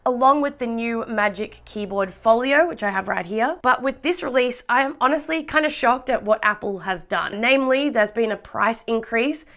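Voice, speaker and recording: Australian woman tech reviewer, slightly raspy from a cold, upbeat casual vlog delivery. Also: fairly high pitch, normal speed, few pauses, a bit bright. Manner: chatty, enthusiastic, laughs often.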